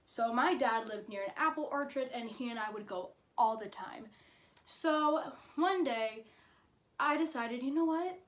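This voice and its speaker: High-pitched, chatty young woman storyteller. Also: animated, measured pace. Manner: chatty, informal, dramatic emphasis in retelling. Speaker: YouTube storyteller. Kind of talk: casual personal storytelling.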